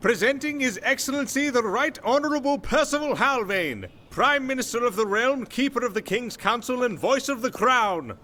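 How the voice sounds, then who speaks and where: A man plays a grandiose court herald with a very high, bright voice. The delivery is a formal, ceremonial proclamation for a fantasy drama, over faint noise. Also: lively intonation, normal speed, few pauses, exaggerated voice. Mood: ceremonial, proud. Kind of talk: fantasy audio drama announcement.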